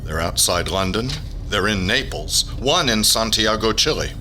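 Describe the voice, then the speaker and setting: A man acting in a radio drama as a brisk army general in charge of intelligence, with clipped military delivery. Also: lowish voice, animated, pushed and bright, a little hiss or hum. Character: commanding male army general, brisk, alert intelligence chief.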